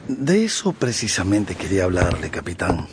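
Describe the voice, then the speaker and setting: A man's voice: low-pitched, big pitch swings, normal speed, background noise, pitched above the performer's own voice. A man voicing a cautious, worried first mate addressing his captain respectfully.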